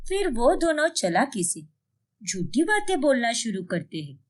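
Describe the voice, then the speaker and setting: High, animated woman storyteller. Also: unhurried. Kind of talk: dramatic scripted story narration.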